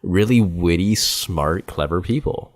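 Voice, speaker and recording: A man hosting a podcast interview, talking in a relaxed, agreeable, conversational way. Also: low voice, measured pace. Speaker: podcast host. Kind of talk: casual interview chat.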